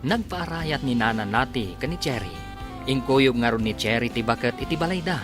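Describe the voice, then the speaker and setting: A man serves as narrator of an Ilocano radio drama. He reads scripted narration with lively, varied intonation. Music plays clearly behind him. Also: medium pitch, moderate pace.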